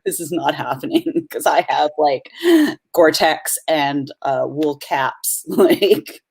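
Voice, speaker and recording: A woman's voice: deep voice, slow pace, gravelly, a little mellow, husky. A woman actress being interviewed on a video call, chatting casually, upbeat and giggly, rambling through her stories. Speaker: actress, guest.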